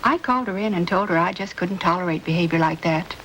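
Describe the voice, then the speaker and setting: A woman's voice: lowish voice, quick pace, fairly bright tone, low-quality recording. Fussy, gossipy landlady. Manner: chatty, fussy, disapproving. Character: gossipy, proper middle-aged landlady. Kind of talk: 1950s radio drama acting. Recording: old radio recording with faint hiss.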